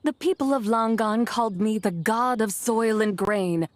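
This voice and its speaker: A woman's voice: a little high, a little slow, no room sound, natural voice. A woman playing a proud, stern female immortal who lectures in lofty, archaic speech in a video game. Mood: proud, matter-of-fact. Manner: haughty, archaic, lecturing diction. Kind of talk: game voice acting.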